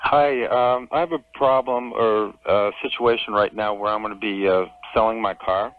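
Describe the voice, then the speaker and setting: A man's voice: on the low side, fairly even intonation. Hesitant male caller on tinny phone line. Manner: hesitant, halting. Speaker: caller to a radio advice show. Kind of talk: casual phone conversation. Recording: narrow, tinny telephone line.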